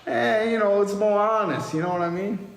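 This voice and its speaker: A man's voice: high voice, steady tone, moderate pace, creaky, voice pushed up. Man reading as a pushy mercenary recruiter, echoey. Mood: pushy, heated. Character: pushy, foul-mouthed male mercenary recruiter, impatient and shady. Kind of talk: amateur table read of a film script. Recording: echoey reverberant room, background noise.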